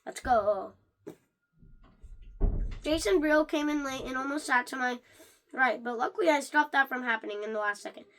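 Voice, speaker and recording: A high, female-sounding voice reads a children's book aloud for a read-along. The delivery is animated and a little halting, with stumbles and restarted phrases.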